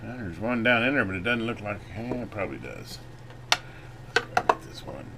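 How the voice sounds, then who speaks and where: Low-voiced man thinking aloud, noisy recording. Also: big pitch swings, moderate pace, creaky. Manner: off-the-cuff, thinking aloud while working. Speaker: electronics repair video host. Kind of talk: casual hands-on review and repair narration.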